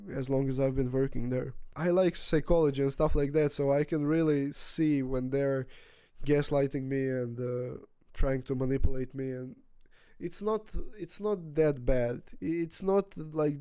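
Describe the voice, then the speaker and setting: A man in his twenties, not a native English speaker, talking through his feelings in a rambling, halting personal vlog with frequent restarts. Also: medium pitch, warm tone, clear, resonant tone, no echo. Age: 20s. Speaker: vlogger. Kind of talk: casual personal vlog monologue.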